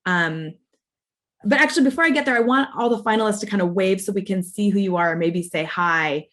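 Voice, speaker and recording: A woman's voice: medium pitch, fairly quick, a bit bright. A woman professor presenting at an online awards ceremony, speaking informally in an earnest, explanatory way. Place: online video call.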